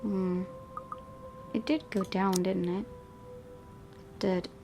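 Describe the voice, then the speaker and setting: A woman's voice: medium pitch, steady tone, drawn-out words, over music. A woman hosting a gaming video, reading visual novel narration expressively and adding casual asides.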